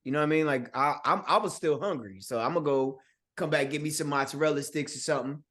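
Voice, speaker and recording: Slangy man, a bit high-voiced. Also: quick pace. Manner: animated storyteller, slangy, repeats "you know what I'm saying". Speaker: podcast guest. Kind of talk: casual opinionated chat.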